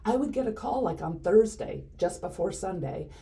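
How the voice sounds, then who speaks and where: A woman co-hosting a casual chat, talking in an earnest, encouraging way, a little hoarse. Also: medium pitch, lively intonation, moderate pace, warm tone. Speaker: podcast co-host.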